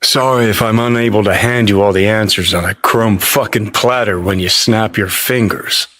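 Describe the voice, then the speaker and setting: An actor voicing a cynical, abrasive dead rock rebel in a video game, with an American accent and a sardonic, profane, contemptuous drawl. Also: male voice, fairly low pitch, steady tone, moderate pace, fairly bright tone, slight background noise, close to an everyday voice. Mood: irritated, bitter.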